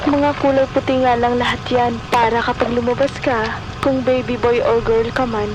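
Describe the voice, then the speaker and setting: A woman's voice: fairly high pitch, flat delivery, normal speed, highs cut off, background noise, lightly acted. A woman playing a loving expectant mother talking to her baby in an audio drama, tender and sentimental, with a Filipino accent. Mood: tender, happy.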